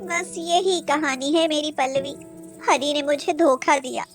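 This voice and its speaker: A woman's voice: high voice, steady tone, unhurried, soft background music. A woman playing a poor, weary but proud mother of five in a cartoon story, with earnest, emotional delivery. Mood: sorrowful, resigned.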